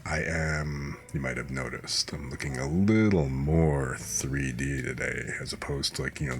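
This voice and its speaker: Super deep voiced guy, hoarse, rambly chill streamer talk, soft music way in the back. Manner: relaxed, rambling, soft-spoken. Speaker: streamer host. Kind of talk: laid-back stream chat.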